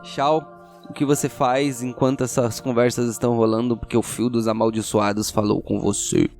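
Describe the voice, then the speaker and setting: A man's voice: medium pitch, little pitch movement, normal speed, gravelly, darkish tone, soft background music. A man with a Brazilian accent, narrating a tabletop role-playing audio drama as game master.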